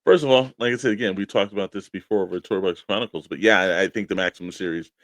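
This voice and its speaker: Low-voiced man co-hosting a collectors' show. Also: fast, fairly bright tone, no echo. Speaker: co-host of a toy collecting show. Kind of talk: casual discussion and opinion.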